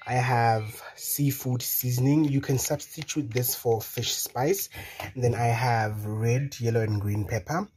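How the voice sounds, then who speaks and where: A man's voice: mid-range voice, measured pace, darkish tone, a little hiss or hum. A young man from South Africa with a South African accent, chatting through a recipe in his home kitchen and talking straight to viewers with lots of casual fillers. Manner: chatty, addresses viewers directly, many "you know" fillers. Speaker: cooking channel host.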